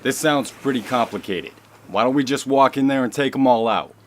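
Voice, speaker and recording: A man's voice: medium pitch, a little fast, a little hiss or hum, close to an everyday voice. A man playing a thick-skulled bodybuilder henchman, casual and plain-spoken, in a crime radio drama. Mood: doubtful. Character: thick-skulled bodybuilder mob henchman, doubtful but obedient.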